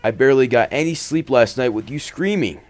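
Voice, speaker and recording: A man's voice: mid-range voice, lively intonation, brisk, voice pushed up, natural voice. A man voicing a cheerful, doting old grandfather in a horror story. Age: older.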